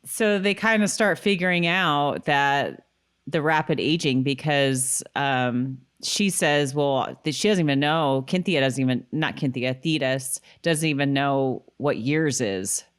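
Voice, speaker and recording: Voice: medium pitch, measured pace, a bit bright, clear, resonant tone. A podcast co-host chatting casually and reading recap passages aloud in a conversational way.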